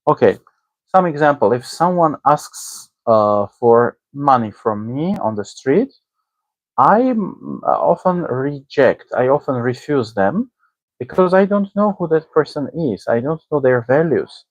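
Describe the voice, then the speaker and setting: A man whose native language is Armenian, giving casual commentary as a discussion host in a hesitant, halting way, often checking in with the listener. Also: medium pitch, big pitch swings, no room sound, poor audio. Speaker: online teacher and discussion host. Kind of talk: casual spoken commentary on a book.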